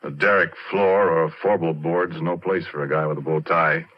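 Deep-voiced folksy American roughneck. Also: male voice, fairly even intonation, normal speed. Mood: reminiscing, conversational. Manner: plainspoken, rambling, colloquial grammar. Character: folksy middle-aged retired oil field roughneck reminiscing. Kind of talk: 1940s radio drama first-person narration. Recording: muffled old-time radio recording.

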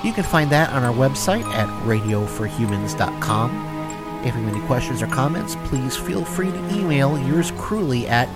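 Male horror host over loud music. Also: medium pitch, lively intonation, moderate pace. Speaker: horror anthology podcast host. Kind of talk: scripted radio-host sign-off. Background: loud incidental music.

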